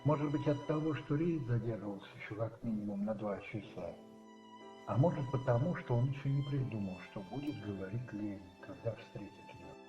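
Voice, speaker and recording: A man narrating a radio play as dramatic literary storytelling, musing and rhetorical, with dramatic pauses. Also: lowish voice. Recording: roomy, slightly muffled, with music behind.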